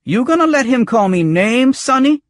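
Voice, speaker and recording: A man voicing a furious, foul-mouthed prostitute who shouts indignant street slang in an audiobook. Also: extremely high pitch, very animated, moderate pace, no echo, studio quality, voice pushed up. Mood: indignant, goading. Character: foul-mouthed, shrill, furious young bar prostitute woman. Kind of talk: audiobook character voice.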